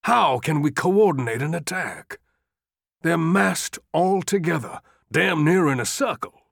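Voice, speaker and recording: A weary general presses his case earnestly and with insistence, as a male narrator voices him. He speaks slowly. The voice is close and dry. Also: medium pitch, animated, no echo. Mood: urgent.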